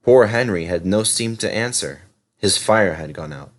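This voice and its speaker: A man's voice: on the low side, expressive, normal speed. A man narrating a children's story as a storybook reader.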